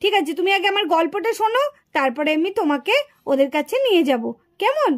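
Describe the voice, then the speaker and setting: A woman voicing a soothing, indulgent village aunt in a children's puppet cartoon, coaxing and gently chiding as she talks. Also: extremely high pitch, lively intonation, quick pace.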